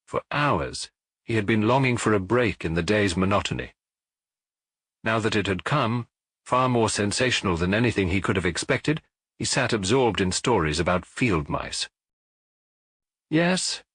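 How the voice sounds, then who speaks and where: Low, husky male audiobook narrator. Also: normal speed, a bit bright, dry recording. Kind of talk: expressive scripted narration of a detective story.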